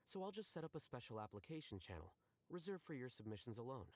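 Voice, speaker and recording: A man voicing an aloof young male scholar in a video game, blunt and deadpan, with precise, dryly formal delivery. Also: medium pitch, fast, no echo. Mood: dry, matter-of-fact. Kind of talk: scripted video game voice lines.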